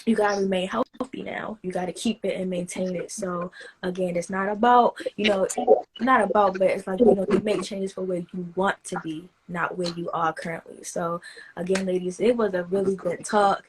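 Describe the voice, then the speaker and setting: A woman coach hosts a casual live chat in a relaxed, rambling way. Her voice sits at a middle pitch and keeps circling back with fillers. A light hiss runs under the recording. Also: normal speed, low-quality recording. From not from the Caribbean. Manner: relaxed, loose and chatty, full of "you know" fillers. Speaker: fitness coach hosting a live stream.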